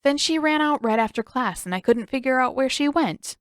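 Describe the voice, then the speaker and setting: A woman's voice: high voice, lively intonation, normal speed, no echo, studio quality, voice pushed up. A woman voicing a mild, hesitant, skeptical young boy in an audiobook, with a light, tentative delivery. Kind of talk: acted audiobook dialogue.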